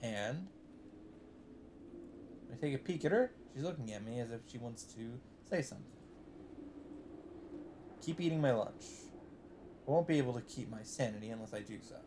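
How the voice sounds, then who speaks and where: A man of middle pitch hosts a let's play of a visual novel, reading its narration aloud at an even pace. He now and then breaks off into blunt, cursing remarks. A faint noise sits under his voice. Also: moderate pace, long pauses. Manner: reads narration evenly, breaks in with swearing asides.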